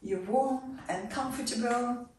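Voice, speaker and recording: A woman leading a guided relaxation and meditation, giving soft, calm instructions that sometimes drop to a near whisper. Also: a little high, slow pace, a little hiss or hum. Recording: roomy echo, voice far from mic.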